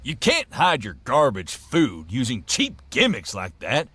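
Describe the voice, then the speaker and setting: A man's voice: medium pitch, huge pitch swings, measured pace, sharp tone, slightly rough, dry recording, heavily acted. A man voicing a proud, grumbling small-town food seller in a video game, with a folksy, theatrical way of talking. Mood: indignant grumbling. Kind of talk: video game character acting.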